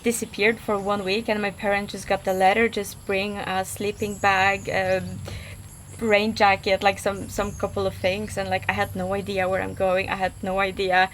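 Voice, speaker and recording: A woman's voice: medium pitch, little pitch movement, normal speed, a bit bright, slight background noise. Young Polish-accented woman, hesitant. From Poland. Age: 20s. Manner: hesitant, many fillers and restarts. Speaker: guest. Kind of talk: casual interview.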